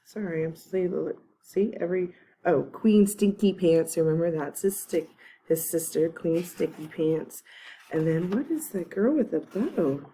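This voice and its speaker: Low, mellow-voiced teacher reading aloud. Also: female voice, unhurried, husky. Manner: friendly, chatty asides to young listeners. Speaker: first grade teacher. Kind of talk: reading a chapter book aloud to children.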